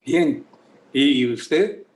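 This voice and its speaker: A man playing a humble, ashamed old grandfather in a screenplay read, hesitant and gently pleading. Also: fairly high pitch, animated, drawn-out words.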